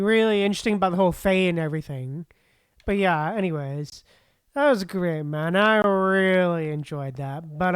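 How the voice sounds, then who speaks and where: Female game streamer, mid-pitched, relaxed casual commentary, giggly, light game music underneath. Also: clear, resonant tone. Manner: easygoing, appreciative, giggly.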